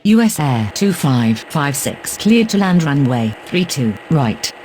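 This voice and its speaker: A man's voice: fairly high pitch, sing-song, a little slow, darkish tone. A man playing a businesslike air traffic radio operator in a game, giving formal, scripted calls. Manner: formal, procedural. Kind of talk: clipped, scripted radio phraseology.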